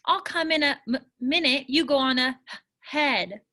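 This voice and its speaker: A woman's voice: high voice, normal speed, bright, forceful tone, pitched above the performer's own voice. Woman reading as a stuttering shy young boy. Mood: halting, friendly. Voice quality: stutter, words caught on first sounds. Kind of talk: children's storybook read-aloud.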